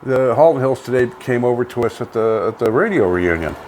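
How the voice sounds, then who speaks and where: A man's voice: medium pitch, animated, a little fast, a little mellow. A middle-aged or older Canadian man co-hosting a casual local radio show, talking in an emphatic, folksy, conversational way. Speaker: co-host of a local online radio vlog. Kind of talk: casual local chat and hockey commentary. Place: home studio. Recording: noisy home studio with background ambience.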